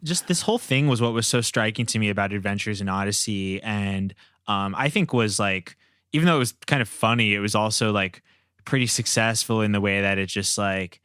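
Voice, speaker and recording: A man's voice: fairly low pitch, fairly quick, a bit bright, clear, resonant tone, no room sound, clean studio sound. A man hosting a podcast in a conversational, discursive style. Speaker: podcast host.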